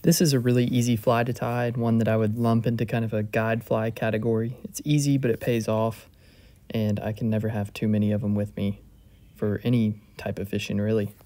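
A man narrates a hands-on craft tutorial in a calm, methodical way. His voice is mellow and dark, with very little rise and fall. He sounds relaxed and plainspoken as he explains each step. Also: fairly low pitch, brisk. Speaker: fly-tying instructor. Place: home fly-tying bench.

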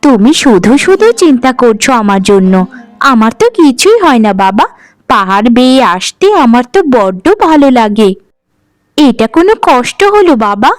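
A woman voicing a cheeky, affectionate young village girl in a cartoon, chirpy and teasing, with a high voice. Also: sing-song, moderate pace, no echo. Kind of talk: cartoon voice acting.

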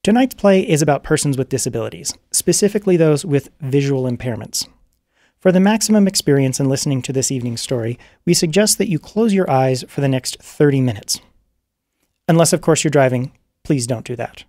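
Guy hosting a radio drama, polite formal script read, medium pitch, very dry close sound. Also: animated, fairly quick, darkish tone, no room sound. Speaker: radio drama producer and host. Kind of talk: formal scripted broadcast introduction. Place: radio studio, live broadcast.

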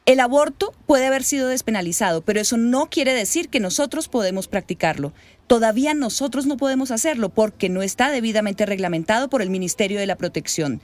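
An actress playing a condescending, officious Colombian hospital director in a radio soap opera. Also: female voice, a little high, animated, fairly quick, no room sound, natural voice. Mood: officious, dismissive.

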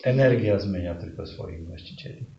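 A man's voice: low-pitched, normal speed, reverberant. Guy doing improv storytelling, wry asides, muffled noisy live recording. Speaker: improv performer, narrator. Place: indoor live performance space.

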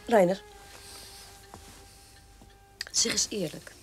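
A probing sister in a radio drama. Her voice has a medium pitch, with faint music behind it. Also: drawn-out words, breathy edge, no echo. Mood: earnest, probing. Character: probing adult sibling questioning a brother about their father. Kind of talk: dramatic radio play dialogue.

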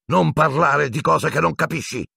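A man's voice: low voice, lively intonation, normal speed, dry recording, studio quality. A man voicing a vindictive, sneering male schoolteacher unhinged with rage in a storybook reading, menacing, hissing and shrieking. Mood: furious.

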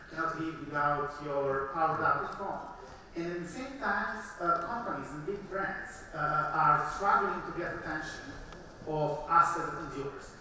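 Male conference presenter, Serbian accent, sharp forceful tone, halting delivery, heard in a very reverberant hall. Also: fairly high pitch. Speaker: startup founder giving a conference presentation. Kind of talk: public business pitch talk. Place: large indoor conference hall with seated audience. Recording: echoey hall, distant microphone, noisy.

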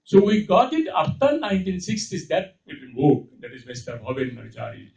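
Casting: older male lecturer, Indian accent, highish swooping voice, halting and emphatic, distant dull hall sound. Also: wildly swooping pitch, vocal fry, a little mellow. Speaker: speaker at a panel talk. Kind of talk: extempore public lecture. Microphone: podium microphone, heard from far off.

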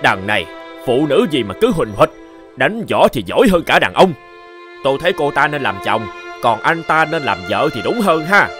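A mocking cartoon villager with a lively, swinging delivery. He gossips in a slightly high voice over music. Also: moderate pace. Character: gossiping, mocking male villagers in a folk tale. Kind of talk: Vietnamese cartoon voice acting.

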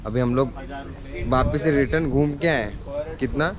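A man's voice: mid-range voice, unhurried, muffled recording, noisy background. A young man vlogging outdoors by a lake, indignantly complaining to camera about being cheated. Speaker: motovlogger. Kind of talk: casual vlog chat.

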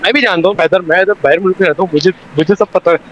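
High-pitched man teasing over voice chat. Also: rapid-fire, fairly bright tone. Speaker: gamer in a squad voice chat. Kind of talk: casual teasing banter. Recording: dull, faintly noisy voice chat.

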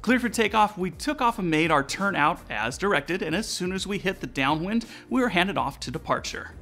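A man's voice: high-pitched, expressive, moderate pace, bright, forceful tone. An American man in his forties hosting, giving a scripted explanation to viewers over background music. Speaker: aviation channel host. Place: indoor studio room with aviation decor.

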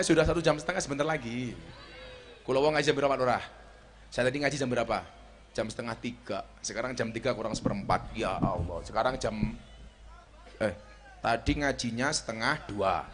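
A middle-aged man with a Javanese accent preaching a humorous sermon on an outdoor stage into a handheld mic, joking and swinging between shouts and low asides. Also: expressive, fairly quick. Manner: walks the stage with a cane. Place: outdoor stage before a large crowd.

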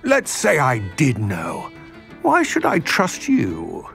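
A man voicing a wary, elderly goblin trader in a video game, with measured, formal phrasing and a weathered voice. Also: medium pitch, wildly swooping pitch, gravelly, a bit bright, faint music behind, full character voice. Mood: suspicious. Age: older. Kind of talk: dramatic video game dialogue.

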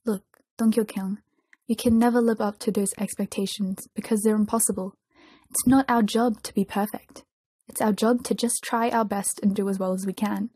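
A woman's voice: medium pitch, fairly even intonation, normal speed, dark tone, breathy edge, no echo, close to an everyday voice. A woman voicing an earnest, caring young male pop idol in a story, insistent and reassuring in manner. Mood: calm, reassuring.

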